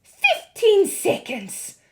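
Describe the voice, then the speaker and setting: A shrill, cruel witch queen, her voice climbing high and swinging wildly as she shrieks her orders. She drags her words out slowly for theatrical menace. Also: huge pitch swings, a bit bright, exaggerated voice. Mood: announcing.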